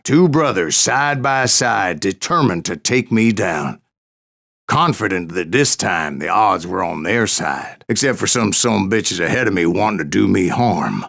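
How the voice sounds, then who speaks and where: Grizzled old male gunslinger, game narrator; deep-set, crackling Western American drawl, folksy and full of brag. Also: fairly bright tone, full character voice. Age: older. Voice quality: gravelly. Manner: folksy, salty, yarn-spinning. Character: grizzled old male bounty hunter, boastful Wild West storyteller. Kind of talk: dramatic first-person video game narration.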